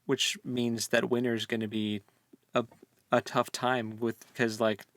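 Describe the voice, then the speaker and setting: A man chats as a co-host in a book discussion, loose and jokey in his wording. His pitch sits in a middle range for a man. The sound is dry, with very little room on it. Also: little pitch movement, a little slow, a bit bright, no room sound. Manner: informal, jokey, slangy. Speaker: podcast co-host.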